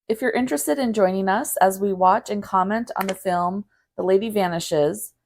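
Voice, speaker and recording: A woman's voice: medium pitch, moderate pace, darkish tone. A woman co-hosting a podcast in a casual discussion. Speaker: podcast co-host.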